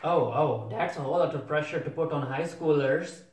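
A man's voice: fairly high pitch, normal speed, background noise. A young man giving casual, bemused reaction commentary, asking questions and repeating himself as he goes. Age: young adult. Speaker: reviewer reacting to a cartoon. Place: small home room with a desk. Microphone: camera a few feet away. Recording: roomy, distant microphone.

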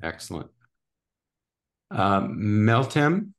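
A man's voice: lowish voice, sing-song, extremely slow. A man hosting online introductions on a video call, calling on people by name and apologizing for mispronouncing them. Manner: apologetic about pronouncing names. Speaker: workshop host. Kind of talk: casual online meeting introductions.

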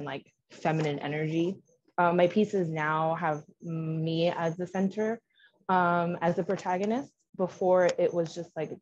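A young woman painter describes her work on a video call in a measured, reflective way. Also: fairly low pitch, darkish tone. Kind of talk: video call artist talk. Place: room at home. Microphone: laptop video call. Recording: dull video call audio with faint noise.

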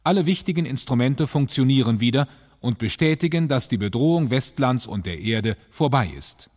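A man's voice: mid-range voice, lively intonation, normal speed, a little mellow. A man delivering scripted dramatic narration for an audio drama. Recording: long reverb, music under voice.

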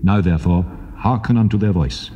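An actor playing God in a dramatized scripture reading, grave, commanding and measured. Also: male voice, sits low, warm tone, close to an everyday voice. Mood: solemn. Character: God, solemn, aggrieved male divine voice of authority. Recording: dull vintage cassette recording.

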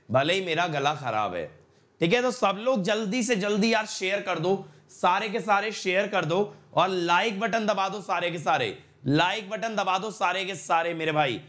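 Young male tutor, sore throat, high pitch, unhurried, repeats himself, lapel mic in a studio. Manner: repetitive, energetic, coaxing viewers with "my brother". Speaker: exam-prep educator. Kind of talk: online live class intro, Hindi-English mix. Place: studio in front of a slide screen.

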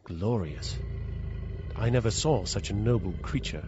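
An actor playing a polite gentleman narrator in a radio drama, speaking in a formal, literary, measured way. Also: fairly low pitch, natural voice. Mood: polite, impressed. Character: polite gentleman narrator, an awed visiting cousin. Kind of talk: dramatised first-person storytelling for radio. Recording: dull radio recording over music.

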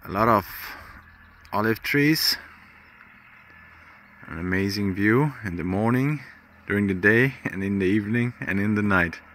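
Male vlogger, 30s, relaxed and rambling, lower pitch, phone at arm's length outdoors, faint ambient noise. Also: unhurried, pauses often. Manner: relaxed, chatty, with fillers and restarts. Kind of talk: casual travel vlog narration. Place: outdoors on a hillside farm.

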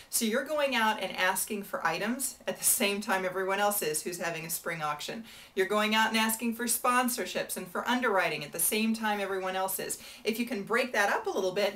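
An American woman in her thirties talks straight to the camera, sharing auction advice in a friendly, chatty way. Her voice sits in an ordinary middle pitch. She is recorded in a small indoor room. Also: fairly bright tone. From United States. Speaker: benefit auctioneer giving advice. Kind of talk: casual to-camera advice talk.

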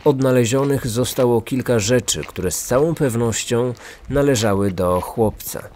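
A man's voice: medium pitch, measured pace, a little mellow, a little hiss or hum. A man narrating a scripted true-crime podcast as its host. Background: faint music.

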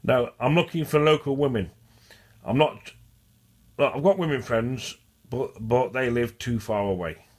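A man's voice: mid-range voice, measured pace, a little mellow. A man with a stutter, talking in a rambling, repetitive vlog monologue. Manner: repetitive, restarts and repeats phrases. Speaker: vlogger. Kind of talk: casual rambling monologue to camera.

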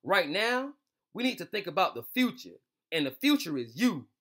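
A man voicing a kind, encouraging older man in a storybook, gently and earnestly reassuring children. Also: high voice, big pitch swings, normal speed, exaggerated voice.

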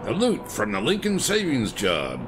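An actor playing a weary, stubborn veteran police commissioner in an audio drama. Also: male voice, medium pitch, huge pitch swings, normal speed, background noise. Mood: firm.

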